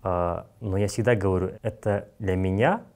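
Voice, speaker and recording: A man's voice: deep voice, moderate pace, darkish tone. A young man from Kazakhstan with a Kazakh accent, talking bluntly and casually with slang as a podcast guest on a lapel mic. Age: young adult. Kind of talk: casual studio talk.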